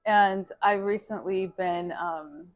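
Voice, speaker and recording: Chatty woman with halting restarts. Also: medium pitch, steady tone. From Cuba, raised in Texas. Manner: chatty, many ums and restarts, laughs easily. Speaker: guest, media company founder. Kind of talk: casual interview chat.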